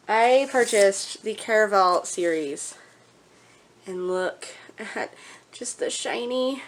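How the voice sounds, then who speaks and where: A woman vlogger chatting casually to her viewers in a gushing, emphatic way. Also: a little high. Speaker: book vlogger.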